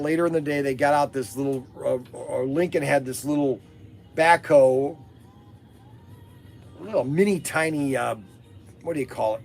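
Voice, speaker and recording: A man hosting a casual live podcast, hoarse from losing his voice, rambling through a story with many fillers and restarts. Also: a little high. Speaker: host of a toy business live podcast.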